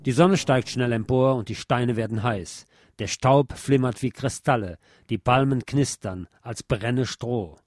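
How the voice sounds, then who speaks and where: A man reads literary prose aloud in an expressive, emphatic way, at a middle pitch. The recording is dry and close, with no room around the voice. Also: unhurried, dry recording, soft background music. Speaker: reader of a literary text. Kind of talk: dramatic literary reading.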